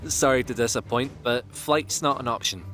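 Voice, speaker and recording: A man's voice: medium pitch, moderate pace, sharp tone, soft background music, natural voice. A man playing a cocky, joking young winged pony in an audio drama, with a breezy, sardonic, theatrical delivery. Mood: sheepish, joking. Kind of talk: audio drama character acting.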